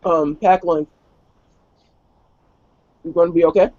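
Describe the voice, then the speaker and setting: Male player, mellow tone, casual roleplay talk, dry, dull call audio. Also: fairly high pitch, steady tone, measured pace, no room sound.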